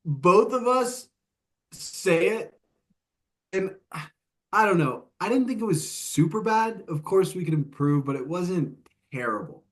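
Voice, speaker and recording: Man, high lively voice, slow unhurried pace, easygoing, reassuring podcast co-host. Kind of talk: casual podcast chat.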